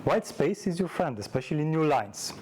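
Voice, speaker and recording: Man giving an informal tech talk, rumbly noise. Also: very animated, normal speed, darkish tone. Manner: conversational, self-deprecating, illustrates points with anecdotes. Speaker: conference speaker, software developer. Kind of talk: informal technical meetup presentation. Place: live meetup talk before an audience.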